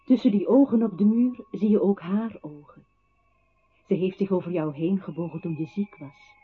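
A man's voice: high-pitched, moderate pace, husky. An actor playing an accusing voice that solemnly tells a captive his own life in a poetic radio play. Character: accusing male voice narrating a captive's life to him. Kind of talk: radio play, poetic second-person narration. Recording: tinny, narrow old radio recording with hiss.

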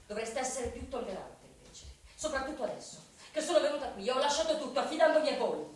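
Earnest proud young fiancee, radio play, high voice, really echoey. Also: female voice, lively intonation, fast talker. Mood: hurt, earnest. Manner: earnest, emotional, dignified. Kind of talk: Italian radio drama acting. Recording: very echoey, distant, music underneath.

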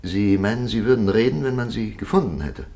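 Radio drama interrogator: low-pitched, animated melody, deliberate, reproachful authority. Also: male voice. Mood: indignant, probing. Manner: deliberate, formal, admonishing. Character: stern, probing male interrogator of authority, reproachful and measured. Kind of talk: German radio play acting.

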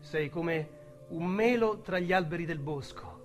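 A man putting on a calm, measured killer. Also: fairly high pitch, soft background music, close to an everyday voice. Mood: contemplative. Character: calm male serial killer fixated on details.

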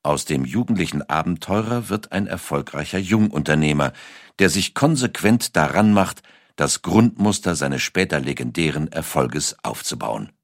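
Deep-voiced male narrator with lively intonation. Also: normal speed, no echo, clean studio sound. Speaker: documentary narrator. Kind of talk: scripted narration.

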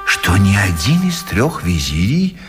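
Male radio narrator for a tale: unhurried, hugely varied intonation, music behind. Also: sits low, wildly swooping pitch. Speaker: storyteller narrator.

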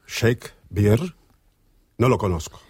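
An actor playing a haughty, bitter, ailing old Spanish writer, disdainful and declamatory, in a radio play. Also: male voice, lowish voice, lively intonation, measured pace, a little mellow.

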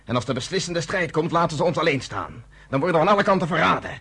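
A man's voice: fairly high pitch, animated, fast talker, vocal fry, a bit bright, husky, exaggerated voice. A man in his thirties playing a blunt, commanding underground leader in a radio play. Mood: bitter. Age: 30s.

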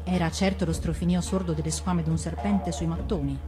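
A woman's voice: fairly low pitch, fairly even intonation. Dramatic woman storyteller over music. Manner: dramatic, deliberate. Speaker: reader of fables on radio. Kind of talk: expressive scripted storybook narration.

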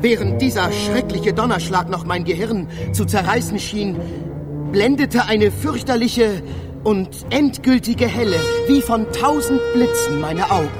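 A curious free-thinking poet on a journey, with a high-pitched voice. He speaks in ornate, wry, theatrical phrases, as in a literary radio play, over loud music. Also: measured pace. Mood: amazed, resolute. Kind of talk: literary first-person radio drama narration.

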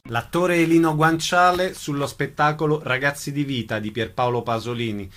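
A man's voice: on the high side, steady tone, normal speed, fairly bright tone. A man reading out a scripted radio announcement of event details.

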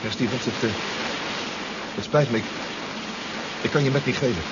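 An actor playing a distraught, exhausted submarine pilot in a 1970s radio drama, stammering and repeating himself. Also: male voice, mid-range voice, normal speed, natural voice. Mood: shaken, apologetic. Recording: dull radio play recording, loud engine noise.

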